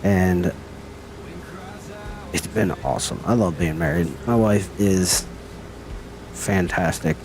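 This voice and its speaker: A man's voice: low-pitched, takes it slowly, long pauses, background noise. A middle-aged man chatting casually with viewers as he streams a game, folksy and teasing. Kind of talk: casual live-stream chat while gaming.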